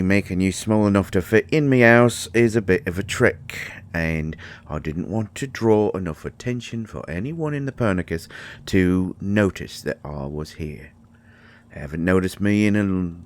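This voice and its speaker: A man playing a grumpy, secretive fairy healer in an intimate roleplay audio, hesitant and exasperated with wry sarcasm. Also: low voice.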